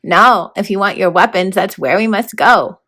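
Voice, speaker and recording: A woman's voice: medium pitch, moderate pace, pushed and bright. A woman voicing a formal, fussy, stern male horned toad mentor in a storybook read-aloud. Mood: matter-of-fact. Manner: proper, grandly worded, matter-of-fact.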